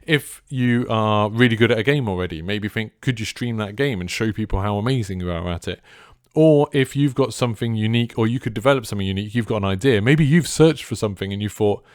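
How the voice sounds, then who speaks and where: Casting: man, deep clear voice, easygoing delivery, casual creator advice, very dry sound. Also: fast, no room sound. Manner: chilled, laid back. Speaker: streamer and content creator giving advice. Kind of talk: casual advice talk to viewers.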